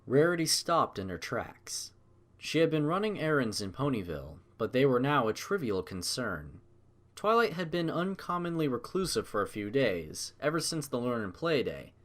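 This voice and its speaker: Animated male story narrator. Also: medium pitch, normal speed. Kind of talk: scripted dramatic story narration.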